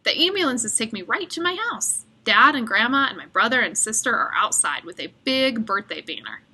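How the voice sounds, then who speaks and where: A woman reading a children's picture book aloud as an expressive storyteller. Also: on the high side, normal speed, husky.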